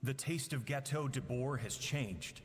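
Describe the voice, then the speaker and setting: A man's voice: medium pitch, a little slow, close to an everyday voice. An actor playing a regretful, wistful man who solemnly narrates his journal in a video game. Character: melancholy, regretful man, old adventurer reading his journal. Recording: long reverb on the voice. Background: faint music.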